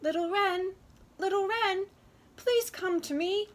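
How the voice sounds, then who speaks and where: A woman's voice: high voice, lively intonation, unhurried, slightly rough, pitched above the performer's own voice, full character voice. A woman voicing a kind young peasant girl in a storybook, shy, modest and earnest. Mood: calling out.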